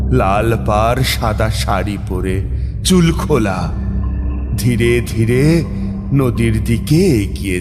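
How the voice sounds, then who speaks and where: Guy doing a grave tantric priest telling a ghost story, really slow, big reverb. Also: mid-range voice. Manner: slow, suspenseful, deliberate pauses. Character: grave male tantric priest recounting his own ghost encounter. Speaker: narrator and lead character. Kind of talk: dramatic scripted horror narration. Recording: long reverb, music bed behind. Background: clear background music.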